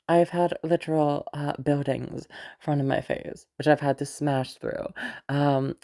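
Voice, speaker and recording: Audio roleplay: actress as teasing crazy female patient, gravelly fry, soft dark tone, halting and rambling. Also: sits low. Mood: reassuring. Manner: hesitant, rambling, many pauses and hums. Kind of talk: roleplay audio drama, intimate one-sided conversation.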